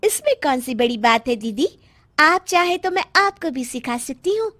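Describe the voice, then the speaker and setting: A woman's voice: sits high, very animated, normal speed, sharp tone, dry recording, clean studio sound, heavily acted. A woman playing a young, scheming younger daughter-in-law in a cartoon, girlish and emphatic. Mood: modest. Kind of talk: cartoon moral-story acting in Hindi.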